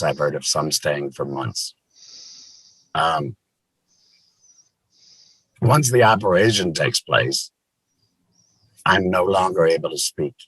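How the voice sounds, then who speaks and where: A man in his sixties from Maryland, USA, telling his personal story as a guest, speaking through a voice prosthesis, halting and repeating words. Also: low-pitched, fairly bright tone, breathy edge, dry recording, rough recording. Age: 60s.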